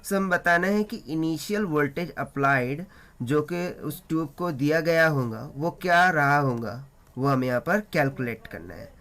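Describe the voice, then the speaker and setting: A man's voice: a little high, animated, normal speed, a bit bright. A man with an Indian accent working through a physics problem step by step as a tutor, explaining as he mixes Hindi and English.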